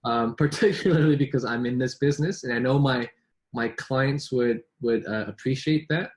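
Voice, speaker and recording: Guy coach chatting with a colleague, mid-pitched voice, talks in bursts and restarts a lot. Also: moderate pace, darkish tone. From born in New York, raised in Vancouver. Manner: chatty, with frequent restarts and rhetorical "right?". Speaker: business English coach. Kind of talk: casual conversation between colleagues.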